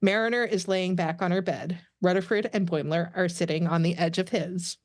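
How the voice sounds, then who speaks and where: A woman's voice: fairly low pitch, steady tone, normal speed, no echo. Dry-sounding woman reading stage directions. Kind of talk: scripted narration.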